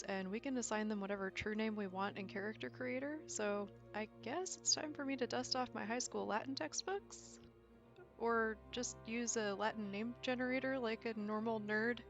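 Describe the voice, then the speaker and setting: A woman giving a casual, chatty explainer voice-over with informal, nerdy enthusiasm. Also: mid-range voice. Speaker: video creator explaining game lore. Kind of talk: casual commentary, lightly scripted explainer. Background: faint background music.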